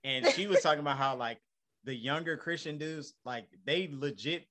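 A man's voice: a little high, moderate pace. Laid-back man of thirty. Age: 30s. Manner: relaxed, laid-back slang. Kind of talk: casual chat.

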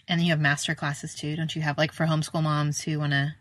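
A woman's voice: low voice, level tone, fast talker, no room sound. A middle-aged American woman with a chatty, informal manner, interviewing casually as a podcast host.

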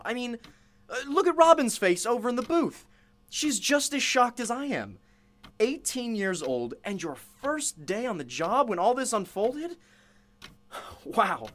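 A man's voice: very high-pitched, a bit bright, exaggerated voice. An actor playing an eager, inquisitive young male radio interviewer in a drama, conversational and a little hesitant in his phrasing. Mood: astonished.

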